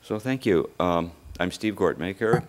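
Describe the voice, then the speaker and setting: An older man giving a conference presentation at a lectern microphone, his voice lowered from illness, speaking haltingly with many uhs. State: voice lower than normal from illness. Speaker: research presenter.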